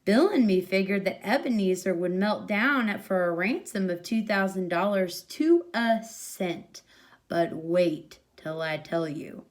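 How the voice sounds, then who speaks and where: A woman reading a short story aloud as expressive narration, with a medium-pitched voice. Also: unhurried. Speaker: story reader.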